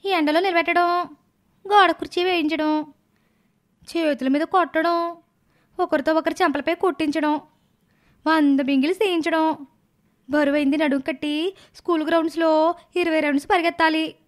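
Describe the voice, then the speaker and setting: A girlish, high-pitched young niece , voiced by a woman, from a cartoon moral story. She is silly and stubborn, arguing and whining in a petulant way. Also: steady tone, moderate pace, pauses often. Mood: anxious, pleading.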